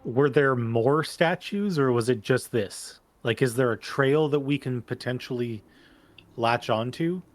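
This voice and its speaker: Male tabletop player: unhurried, mid-pitched, casual roleplay talk, faint noise behind.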